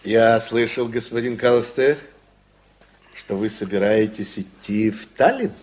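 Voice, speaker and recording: A man's voice: fairly low pitch, measured pace, darkish tone. A man acting an insistent, scheming consul in a radio drama, with a formal, insinuating way of speaking. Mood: probing. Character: insistent, scheming male consul pressing his claim.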